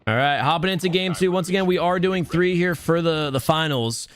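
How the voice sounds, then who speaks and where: A man's voice: on the high side, a little fast, slight background noise. Young man casting a mobile shooter match. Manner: relaxed, soothing tone; casual, talks to chat, many restarts. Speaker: esports tournament caster. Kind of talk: live stream esports commentary.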